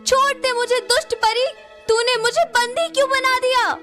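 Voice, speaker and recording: Woman doing a young princess in a kids cartoon, really high voice, earnest and proud. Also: fairly even intonation, normal speed, fairly bright tone, faint music behind. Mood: defiant, indignant. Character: young princess, defiant captive flute owner. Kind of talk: Hindi moral-story cartoon acting.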